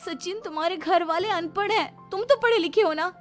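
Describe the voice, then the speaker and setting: A woman's voice: extremely high pitch, normal speed, faint music behind, pitched above the performer's own voice. A woman voicing a young, educated, pregnant daughter-in-law, distressed and defiant, in a melodramatic story style. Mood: pleading, upset. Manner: indignant, pleading. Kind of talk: melodramatic animated story acting.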